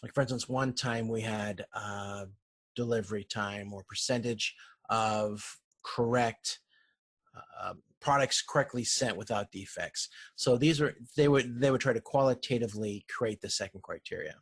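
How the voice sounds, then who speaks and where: A man lecturing informally over slides, explaining in a halting way and often correcting himself. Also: on the low side, breathy edge. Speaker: university lecturer.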